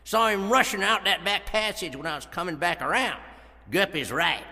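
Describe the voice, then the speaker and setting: Jovial cartoon western townsman: high, gravelly, folksy and emphatic, deep in reverb. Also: moderate pace, pushed and bright, exaggerated voice. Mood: cautioning. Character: hearty, folksy male western townsman, cheerful rescuer. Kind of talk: children's western audio adventure acting. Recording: cavernous reverb.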